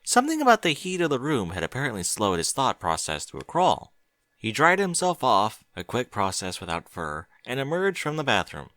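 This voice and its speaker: A man's voice: medium pitch, wildly swooping pitch, normal speed, a bit bright, no echo. A man reading fiction aloud as an audiobook, narrating expressively. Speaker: audiobook reader.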